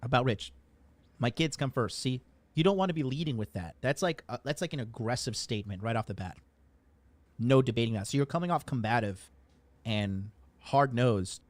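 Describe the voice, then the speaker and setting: A man's voice: mid-range voice, expressive, moderate pace, no room sound. A man giving casual commentary in a blunt, profane, lecturing way.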